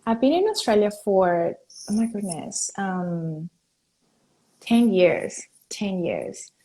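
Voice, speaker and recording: A woman from Venezuela, a company founder, chatting as a guest on a live video call, enthusiastic and quick, laughing often. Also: mid-range voice, animated, mellow, poor audio. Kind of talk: casual live-stream chat.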